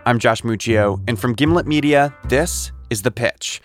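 A man's voice: medium pitch, moderate pace, over music. A man hosting a podcast, narrating in a scripted, conversational storytelling manner.

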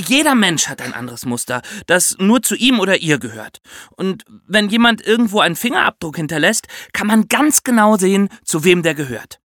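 A man co-hosting a children's podcast with a bright, crisp edge to his voice. He explains things step by step and speaks straight to his young audience. His delivery swings up and down with plenty of animation, recorded dry. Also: sits high, sing-song, normal speed, dry recording. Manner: instructive, addresses young listeners directly. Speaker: podcast co-host.

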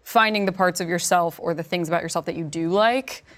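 A woman with a deep voice, a podcast guest giving earnest advice in casual chat. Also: fairly quick, fairly bright tone.

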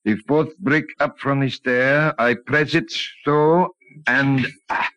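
A wary older hotel owner in a 1940s radio play. He is courteous and formal, choosing words hesitantly in a mid-pitched voice. The recording is dry. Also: fairly even intonation, takes it slowly, a bit bright, no echo, dull audio. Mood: cautious. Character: cautious, courteous older male hotel proprietor.

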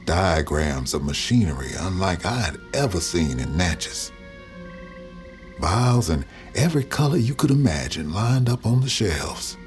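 An actor playing a folksy Southern man who recalls dark events in a halting, drawn-out storytelling manner for an audio drama. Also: low voice, very animated, soft background music. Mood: haunted, wondering. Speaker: narrator.

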